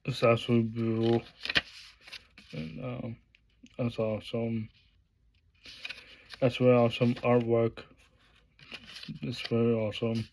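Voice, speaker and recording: A man talking casually through an unboxing vlog, halting with many fillers and restarts, praising what he shows. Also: medium pitch, creaky, background noise. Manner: plain enthusiastic praise in a flat delivery. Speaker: vlogger.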